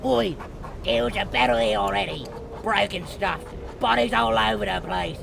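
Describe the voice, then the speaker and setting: A man voicing a crude, scrappy goblin underling with rough slangy speech and a gravelly voice, in a fantasy audiobook. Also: animated, moderate pace, full character voice. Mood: indignant, vengeful. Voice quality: creaky, gravelly, high. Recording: clear ambient noise behind voice.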